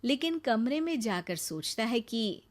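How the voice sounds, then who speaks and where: A woman with a fairly high voice narrating a cartoon moral story. She tells it calmly and plainly, linking the scenes. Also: a little slow.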